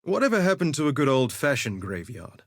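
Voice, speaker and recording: Smug flirty swordsman from a video game, suave and theatrical, mid pitch, super dry sound. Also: big pitch swings, a little fast, no room sound. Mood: cocky, wry. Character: cocky, vain, flirtatious male duelist gladiator. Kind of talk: scripted game voice lines, theatrical quips.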